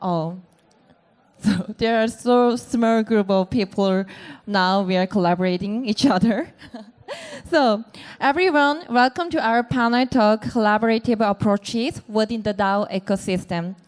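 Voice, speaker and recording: A woman's voice: medium pitch, measured pace. A woman with a Korean accent moderating a conference panel, speaking with frequent fillers and hesitations. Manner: hesitant, many fillers, light laughs. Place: conference venue resembling a bar.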